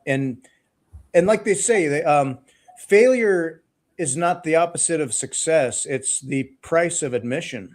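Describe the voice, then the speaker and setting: A man hosting an interview, easygoing and folksy, talking in a relaxed way with sayings and fillers. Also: a little high, measured pace. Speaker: podcast host. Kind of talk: casual interview conversation.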